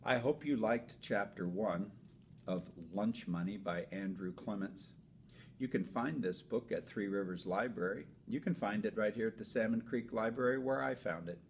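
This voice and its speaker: A man who teaches elementary school reads a children's storybook aloud in an expressive, emphatic way. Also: normal speed. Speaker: fifth grade teacher reading aloud. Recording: noisy with low rumble.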